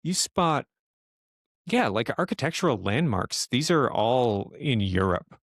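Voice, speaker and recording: A man narrating a tabletop horror game as game master, improvising in a halting way as he thinks aloud. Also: mid-range voice, big pitch swings, dry recording, studio quality.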